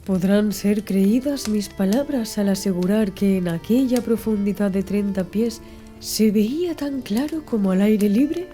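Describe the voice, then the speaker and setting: A woman reading a literary audiobook in a measured, expressive storytelling manner. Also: medium pitch. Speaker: audiobook narrator. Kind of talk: scripted literary audiobook narration. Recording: music under the voice. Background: music.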